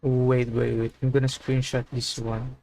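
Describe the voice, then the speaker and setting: A man streams a game and comments as he plays. He talks slowly at a medium pitch, in an informal, muttered, reactive way. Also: level tone, creaky, warm tone. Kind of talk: casual livestream commentary.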